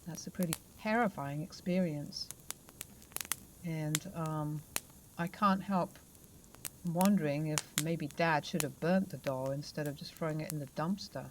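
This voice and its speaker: Female narrator, low-pitched, soft conversational storytelling of paranormal tales. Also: unhurried, background noise.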